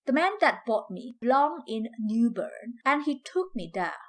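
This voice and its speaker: Woman acting a proud older Southern storyteller, slow, expressive. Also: a little high. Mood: reminiscing. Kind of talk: dramatic first-person storytelling in dialect.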